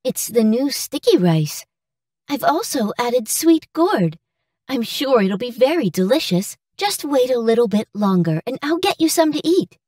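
A loving, grieving village mother from a cartoon fairy tale, played by a woman. She is tender and pleading, with a fairly high voice that swings expressively. The sound is dry. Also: big pitch swings, unhurried, darkish tone, no room sound, recorded from a distance. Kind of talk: English-language cartoon fairy-tale acting.

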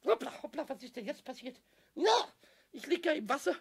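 A man playing a mischievous, childlike kobold in a children's radio play, with a squawky, creaky voice, stammering, repeating himself and slipping into sing-song rhymes. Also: very high-pitched, sing-song, a little fast, heavily acted. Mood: dizzy, complaining. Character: mischievous, childlike little male kobold, tipsy and excitable.

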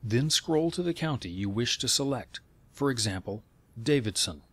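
A man reading scripted instructional narration in a measured, step-by-step way. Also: medium pitch, animated, dry recording.

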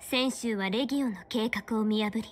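An actress playing a stern young fortune-teller official in a game, speaking in a formal, measured, authoritative way. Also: female voice, fairly high pitch, lightly acted. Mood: analytical, composed. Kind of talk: scripted game character dialogue in Japanese dub.

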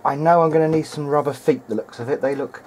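A man's voice: on the high side, fairly even intonation, fast, darkish tone, slight background noise. A man thinking aloud in a hesitant way as he gives casual hands-on repair commentary at an indoor workbench. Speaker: hobbyist repairer presenting a video diary.

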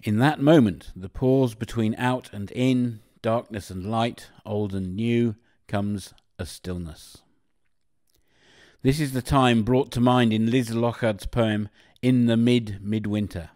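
A man's voice: medium pitch, takes it slowly, darkish tone, no room sound, clean studio sound. A man delivering reflective, scripted narration.

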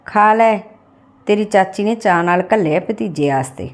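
A woman's voice: mid-range voice, normal speed, close to an everyday voice. A woman narrator voicing a spiteful, sarcastic village wife in a story, taunting and scolding. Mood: sly taunting. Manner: sarcastic, nagging.

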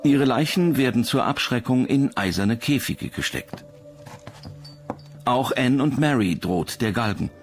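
A man's voice: mid-range voice, animated, unhurried, faint music behind. A man reading scripted documentary narration as the narrator.

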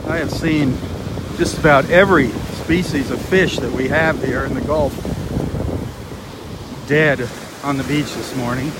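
A man's voice: medium pitch, a little slow, pauses often. A man hosting a live walking video on a beach, talking in an earnest, dismayed way as he goes. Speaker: beach walk video host. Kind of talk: live walking vlog commentary. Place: outdoors on a windy beach by the surf. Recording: wind and surf noise on the mic. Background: crashing waves and wind.

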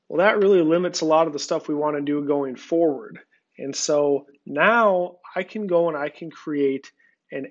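A man giving a relaxed, conversational screen-recorded walkthrough of farm software, with frequent filler words. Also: on the high side, dull audio. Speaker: software company representative. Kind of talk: screen-recorded product demo.

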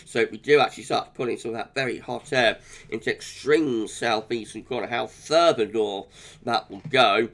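A man with a British accent, croaky today, giving a chatty weather forecast over charts with frequent little laughs. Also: medium pitch, normal speed, fairly bright tone. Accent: British English. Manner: chatty, laughs often, restarts.

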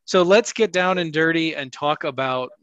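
A man's voice: fairly high pitch, moderate pace, a bit bright, clean tone, no echo, dull audio. A man in his forties hosting an interview, asking questions in a friendly, steering way over a headset on a video call. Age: 40s. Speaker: interview host. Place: home room with shelves, indoors.

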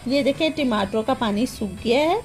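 A woman's voice: a little high, darkish tone, faint music behind. A woman hosting a home cooking channel, explaining each step of a recipe patiently in a plain, homely way, recorded on a phone in her kitchen. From Pakistan.

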